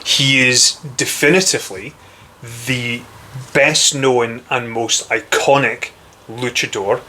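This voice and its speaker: A young man with a strong Scottish accent, a guest in a casual chat, talking in a measured, knowledgeable way. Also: medium pitch, steady tone, sharp tone, a little hiss or hum. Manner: knowledgeable, measured, precise phrasing.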